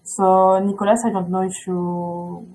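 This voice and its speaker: A woman's voice: mid-range voice, little pitch movement, unhurried, warm tone, rough recording. A woman presenting a school's program in a webinar, talking hesitantly with many filler sounds.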